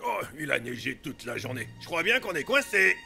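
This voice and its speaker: A man voicing an exasperated, bossy policeman in a cartoon, gruff and commanding. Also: a little high, very animated, a little fast, loud music behind the voice, heavily acted. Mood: resigned. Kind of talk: animated cartoon dub.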